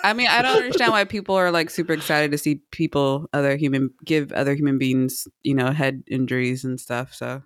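A woman co-hosting a casual podcast, speaking in a playful, wry way with halting phrasing. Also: low voice, a bit bright, dry recording, studio quality. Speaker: podcast co-host.

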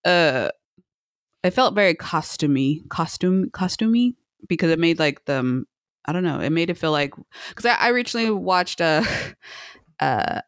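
A woman's voice: sits low, smooth, dry recording. Playfully rambling woman chatting, dry close sound. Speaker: podcast co-host. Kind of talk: casual chat about movie trailers.

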